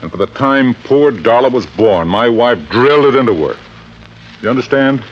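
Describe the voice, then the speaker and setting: An actor playing a middle-aged, wealthy father, gruff and defensive, brusque and insistent, in an old-time radio drama. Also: mid-range voice, normal speed, lightly acted. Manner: brusque, insistent, plain-spoken. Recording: dull, faintly noisy vintage radio recording.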